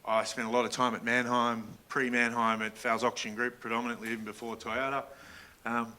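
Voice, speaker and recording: A man with a bright, forward voice at a middling pitch presents a business workshop. He is middle-aged and keeps things informal, speaking straight to the people in front of him. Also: little pitch movement, a little fast. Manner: informal, engaging the room. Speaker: workshop presenter, general manager.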